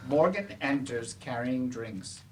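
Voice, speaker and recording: A stage directions reader at a play reading, with a mid-pitched voice. The live room recording has some noise behind it. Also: expressive, slow.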